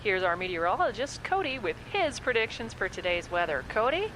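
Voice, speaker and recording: An actress playing a playful, teasing radio co-host in a comedy radio play. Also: female voice, mid-range voice, very animated, brisk, background noise, natural voice.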